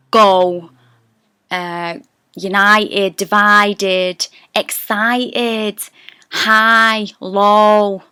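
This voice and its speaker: A woman with a Geordie accent talks casually to camera, thinking aloud as she explains her approach to accents. Her voice is smooth and clear at a middling pitch. The sound is dry and close, with no room around it. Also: pushed and bright, dry recording. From North East England. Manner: chatty and self-deprecating, thinks aloud. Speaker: actress giving an accent tutorial.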